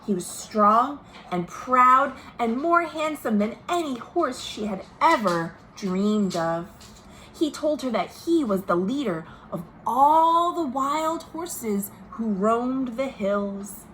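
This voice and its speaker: A woman reading a picture book aloud to children as a storytime host, expressive, pausing to speak to her young listeners. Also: a little high. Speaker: children's librarian hosting virtual storytime. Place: at home. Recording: noisy home recording.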